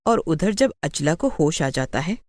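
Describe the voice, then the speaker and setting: A woman's voice: low-pitched, big pitch swings, measured pace, darkish tone, no room sound, clean studio sound. A woman narrating a scripted bedtime moral story.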